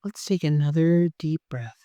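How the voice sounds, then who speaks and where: A meditation guide of unclear sex, speaking gently and softly in an unhurried way, with long pauses, leading a guided meditation. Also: mid-range voice, no room sound, studio quality.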